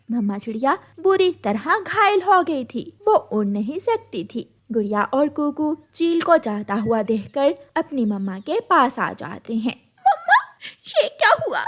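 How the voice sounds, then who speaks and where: A woman reads scripted narration for a children's cartoon tale. Her voice sits fairly high, and she tells the story slowly.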